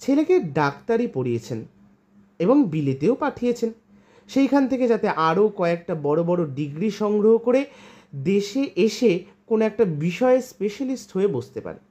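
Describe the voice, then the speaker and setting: A woman reading a literary short story aloud in an expressive storytelling style. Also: medium pitch, normal speed. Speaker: story reader.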